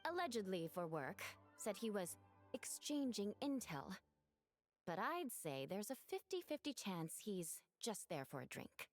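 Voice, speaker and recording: A woman's voice: medium pitch, big pitch swings, a little slow, no room sound, soft background music. An actress playing a dry, knowing, lightly teasing woman knight in a video game. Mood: wry.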